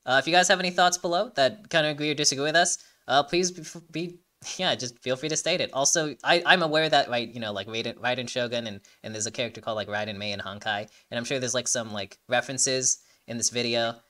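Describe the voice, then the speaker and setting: Hyped video host talking super fast, bright voice, rambly reaction commentary, keeps tripping over words. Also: mid-range voice, fairly even intonation. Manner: rambling, stumbling, repeats phrases while searching for words; enthusiastic. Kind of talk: casual reaction and breakdown commentary.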